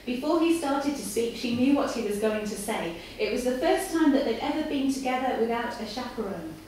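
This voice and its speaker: A young woman reading a story aloud in a measured, deliberate storytelling delivery. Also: a little high, animated, a little mellow. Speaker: reader at a live literary night. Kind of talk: dramatic reading of written fiction. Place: live in a café before an audience. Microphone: far camera. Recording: echoey, distant camera mic, room noise.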